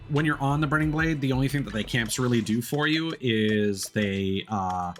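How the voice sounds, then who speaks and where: A man chatting casually while live streaming a game, explaining things in a rambling, halting way. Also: fairly low pitch. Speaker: live streamer playing games. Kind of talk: casual chat while gaming.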